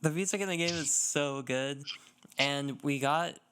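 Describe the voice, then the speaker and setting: A man's voice: a little high, a little slow, a bit bright, clean tone. A man co-hosting a casual gaming podcast, talking enthusiastically and giving emphatic opinions. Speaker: podcast co-host.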